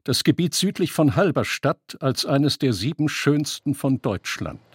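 A man reading scripted literary narration for a radio piece in a deliberate, measured delivery. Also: on the high side, big pitch swings, husky, dry recording.

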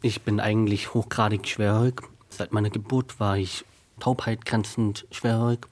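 A deaf actor in his thirties whose first language is sign language, answering a radio interview slowly and carefully. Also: on the low side, little pitch movement. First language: German Sign Language.

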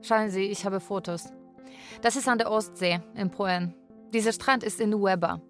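A woman plays an earnest young Polish carer in a radio play. Her voice sits at a medium pitch, politely and carefully phrased, slipping between narration and dialogue. Soft music runs behind her. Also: steady tone, normal speed, close to an everyday voice. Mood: friendly, curious. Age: young adult. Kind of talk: radio drama, part narration in third person.

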